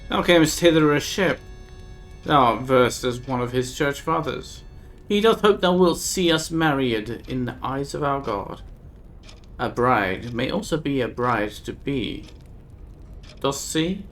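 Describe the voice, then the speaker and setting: A man's voice: medium pitch, animated, measured pace, fairly bright tone, soft background music. A man reading as a grave, uncanny northern woman. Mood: pleading. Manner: old-fashioned thee-and-thou speech, grave and ominous. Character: cryptic archaic-speaking woman of the north, fierce, uncanny. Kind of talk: reading a text game aloud on a live stream.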